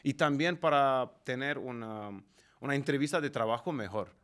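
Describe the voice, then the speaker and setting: A man co-hosting a podcast, politely asking long, drawn-out questions of the guest. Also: medium pitch, a bit bright. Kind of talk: interview questions.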